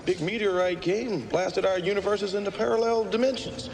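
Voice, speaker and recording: A man's voice: high voice, a little fast, creaky, background noise. A man playing a laid-back street busker in a fantasy film, explaining things casually in a film clip. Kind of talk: film dialogue. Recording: film clip with music behind. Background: music.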